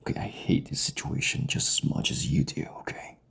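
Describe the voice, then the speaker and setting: A man playing a proud, stubborn king in an intimate audio drama, commanding, with breathy, gravelly half-whispers. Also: fairly quick, a bit bright, lightly acted. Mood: intense, pleading. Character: proud, stubborn, commanding young king, bitter rival husband.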